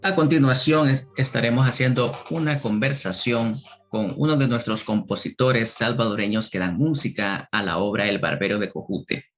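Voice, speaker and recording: A man hosting a podcast, first reading a guest introduction in a measured, formal way, then interviewing his guest. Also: mid-range voice. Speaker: podcast host.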